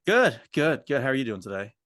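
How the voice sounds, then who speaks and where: A man playing a frustrated employee in a workplace roleplay, complaining haltingly and insistently to his boss. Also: medium pitch, sing-song, a bit bright, dry recording, clean studio sound. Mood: frustrated, pleading.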